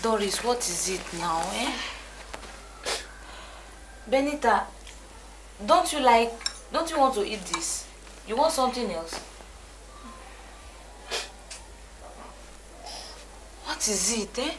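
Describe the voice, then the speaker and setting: A woman's voice: on the high side, expressive, measured pace, pauses often. An actress playing a forgiving woman in an emotional film drama, pleading in short, insistently repeated phrases. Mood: gentle coaxing. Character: tearful forgiving woman comforting guests in her home. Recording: clearly noisy location sound.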